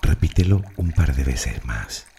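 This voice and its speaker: A man leading a guided meditation in Spanish affirmations, speaking calmly and slowly with soothing pauses over soft music. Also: rumbling low, vocal fry, a little hiss or hum. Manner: calm, slow, soothing delivery with long pauses.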